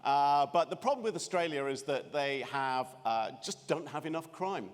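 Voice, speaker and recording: A man's voice: fairly high pitch, expressive, normal speed. Scottish man in his fifties, dry self-deprecating humor, keynote in a big echoey room. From Scotland. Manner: wry, self-deprecating, many restarts. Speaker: keynote speaker. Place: large conference room. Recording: reverberant conference hall.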